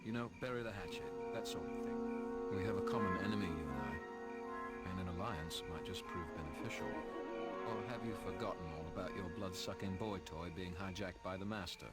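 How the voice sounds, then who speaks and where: Guy doing a cynical british vampire bad boy from a video game, english accent, low voice, slangy and sarcastic. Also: moderate pace, loud music behind the voice, natural voice. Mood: sardonic. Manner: sardonic, slangy. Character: cynical, cocky British male vampire, roguish reluctant ally. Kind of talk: video game cutscene acting.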